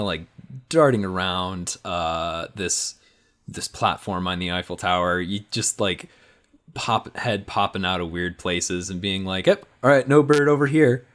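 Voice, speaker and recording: A man's voice: low voice, a bit bright. A man casually improvising a story as a player in a tabletop game.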